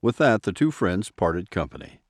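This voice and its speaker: A man narrating a scripted mystery audio drama in a deliberate, suspenseful manner. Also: lowish voice, lively intonation.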